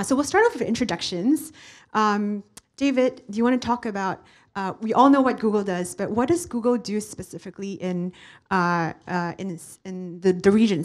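A woman's voice: mid-range voice, darkish tone. A woman in her thirties moderating a conference panel on stage, speaking casually with frequent fillers into a handheld microphone. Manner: casual, many fillers, upbeat. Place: stage of a large hall with audience. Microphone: handheld mic.

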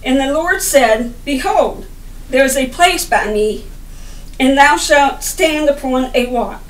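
A middle-aged woman reading the Bible aloud as a sermon, in deliberate broken phrases with stumbles over the words. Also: high-pitched, animated. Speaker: lay preacher reading scripture. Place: indoor room by a window. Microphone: camera a little way off. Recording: roomy, distant camera microphone.

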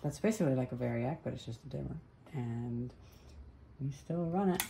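A young woman with a low voice gives a casual, unscripted demonstration. She muses as she goes, recorded on a home camera with faint background noise. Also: moderate pace, creaky, dark tone, low-quality recording. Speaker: hobbyist vlogger demonstrating old light bulbs. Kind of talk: casual off-the-cuff demonstration chat. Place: living room at home, indoors.

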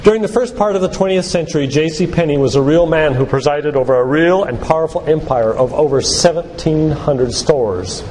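An older man giving a sermon-like lecture in a church, speaking in an earnest, deliberate, emphatic way with repetition. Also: on the high side. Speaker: doctor and guest church speaker. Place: church, live talk. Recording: echoey hall with loud background noise.

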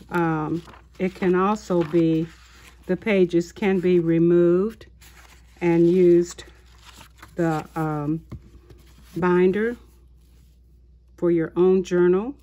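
A woman hosting a haul video of her craft purchases, talking in an unhurried way with many small pauses and fillers. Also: on the low side, dark tone, dull audio. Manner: slow, unhurried, halting with fillers. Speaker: craft channel host. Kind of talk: show-and-tell haul video. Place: indoors at a tabletop, voice-over of hands.

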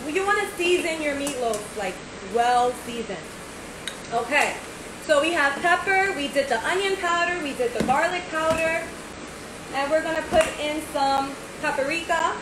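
A woman's voice: high-pitched, measured pace, background noise. Chatty woman cooking in echoey kitchen. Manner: chatty, step-by-step, says "right?" often. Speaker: home cook host. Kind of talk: casual cooking demo. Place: home kitchen, indoors. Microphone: phone camera at arm's length.

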